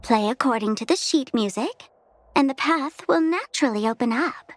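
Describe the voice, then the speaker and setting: A woman's voice: high voice, animated, moderate pace. A woman voicing a cheerful, mischievous young tavern host in a video game, bubbly, showy and teasing. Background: game music.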